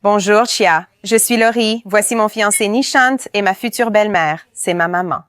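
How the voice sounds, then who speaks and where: A woman's voice: mid-range voice, moderate pace, fairly bright tone, dry recording, clean studio sound, lightly acted. A woman voicing a young bride-to-be who wants something original, in a dubbed reality show. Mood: eager. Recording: music playing under the voice.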